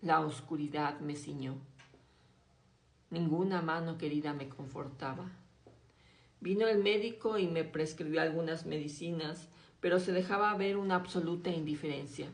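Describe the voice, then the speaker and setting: A woman reading a classic novel aloud in a slow, hushed, dramatic way, dropping her voice low on grim passages. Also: reverberant. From Mexico. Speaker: audiobook reader.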